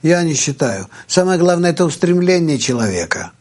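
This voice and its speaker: A native Russian-speaking man, a guest teacher answering viewer questions in a live talk, slow and hesitant, thinking aloud with many fillers. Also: on the high side, very animated, a little mellow.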